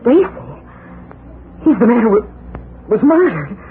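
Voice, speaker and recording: A woman's voice: a little high, very animated, unhurried, slight background noise. An actress playing a frightened, pleading young woman in a 1940s radio melodrama, on a tinny old recording. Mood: frightened, upset.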